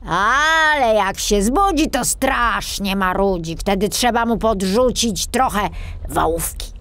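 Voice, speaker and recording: A woman playing a jovial, teasing old male forester in a children's storybook, with a hearty, drawn-out delivery. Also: mid-range voice, animated, a bit bright, no room sound, voice pushed up. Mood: explaining. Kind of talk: children's storybook character acting.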